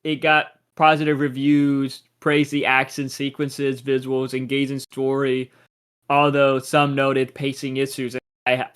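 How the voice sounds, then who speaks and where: A man's voice: mid-range voice, monotone, measured pace, a bit bright, smooth. A young man co-hosting a casual podcast chat, rambling, trailing off and restarting his sentences. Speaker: podcast co-host.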